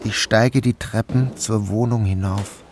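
A man reading a literary story aloud as a first-person narrator, with a measured and even delivery. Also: lowish voice, slight background noise. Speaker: audiobook narrator. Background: music.

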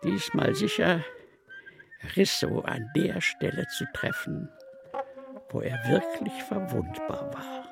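An actor playing a brooding, bitter man telling of a betrayed friend in a literary radio play, with deliberate, drawn-out emphasis. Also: very high voice, huge pitch swings, creaky, loud music behind the voice, exaggerated voice. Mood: grim, insistent.

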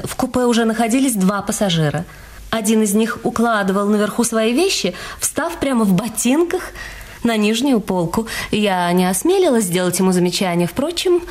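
A woman playing a composed, slightly guarded female witness in a radio drama, speaking in a measured, reflective way with some hesitation. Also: medium pitch, a little mellow, close to an everyday voice. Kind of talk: audio drama narration and dialogue.

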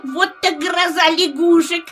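Little frog, childish, very high-pitched, cheeky, exclaiming, children's audio story, music behind. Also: female voice, steady tone, a little slow. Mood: cheeky, amused. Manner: giggly, boastful, exclaiming. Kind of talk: children's audio play acting.

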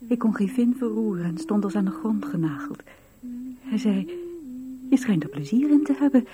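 An actress playing an impulsive eighteen-year-old girl in love in a radio play, breathy and a little hesitant. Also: medium pitch, lively intonation, moderate pace, crowd noise, close to an everyday voice. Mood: excited, confiding. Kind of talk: radio drama recollection and dialogue.

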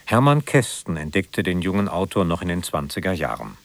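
A man reading a scripted biographical introduction as a radio presenter, in a measured, formal manner. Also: low-pitched, sing-song, vocal fry, no echo.

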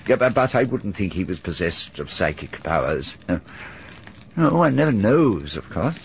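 An actor playing a genial older gentleman with a fussy, chuckling manner in a radio drama. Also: lowish voice, sing-song, a little slow, a little hiss or hum. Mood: chatty. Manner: chuckling, fussy, hesitant repeats. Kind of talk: 1930s-style BBC radio drama.

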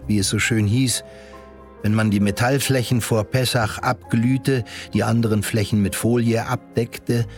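A man narrating a literary radio drama in a measured storytelling way. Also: medium pitch.

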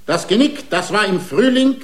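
An actor playing a proud, defiant racing driver on trial in a German radio drama, emphatic and combative. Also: male voice, high voice, animated, moderate pace. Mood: indignant. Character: proud, defiant male racing-driver defendant, indignant braggart.

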